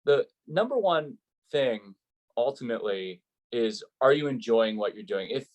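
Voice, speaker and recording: A man's voice: mid-range voice, lively intonation, measured pace. A man who works as a sports play-by-play announcer, speaking conversationally in an interview and explaining his advice in an earnest, emphatic way.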